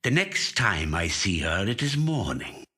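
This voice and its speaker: A man narrates literary fiction in a bright, forceful voice. His delivery is very slow and deliberate, with wide swings of intonation that shape each phrase dramatically. It is a professional studio reading. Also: fairly low pitch, big pitch swings, breathy edge. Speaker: professional actor reading a short story. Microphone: studio microphone.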